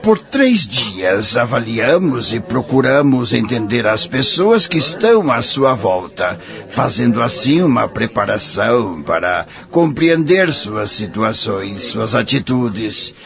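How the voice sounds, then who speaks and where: Man playing a wise, gravelly old Brazilian man. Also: medium pitch, sing-song, music in the background, full character voice. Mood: patient, persuasive. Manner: gentle, preaching, measured. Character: wise, patient, devout old man in a care home. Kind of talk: radio drama acting. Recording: dull lo-fi audio drama recording.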